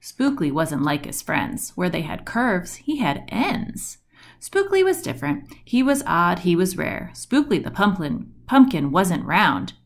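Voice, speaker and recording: A woman reads a rhyming picture book aloud for children. Her pace is slow and deliberate, and her pitch moves up and down with lots of animation. She leans on the rhymes and action words for dramatic effect. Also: very animated. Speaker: storybook reader.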